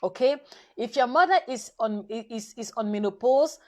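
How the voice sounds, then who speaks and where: Woman coach with halting second-language English. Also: a little high, darkish tone. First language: French. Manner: halting, self-correcting English with many restarts and fillers; coaxing, appeals directly to viewers. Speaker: nutrition coach hosting a live session. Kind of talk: live stream chat to viewers.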